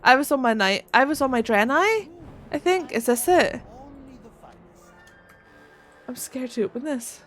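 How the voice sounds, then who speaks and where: A woman's voice: sits high, lively intonation, measured pace, long pauses, fairly bright tone, soft background music. A woman with a rural Northern Irish accent chatting on a gaming livestream, swearing freely and laughing often as she reads chat. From Northern Ireland. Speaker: streamer.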